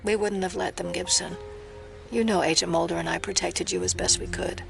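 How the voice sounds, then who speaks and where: An actress playing a skeptical, steadfast federal agent in an audio drama, speaking in a grave, probing and controlled way. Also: female voice, lowish voice, fairly even intonation, brisk, vocal fry, fairly bright tone, faint music behind. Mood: earnest.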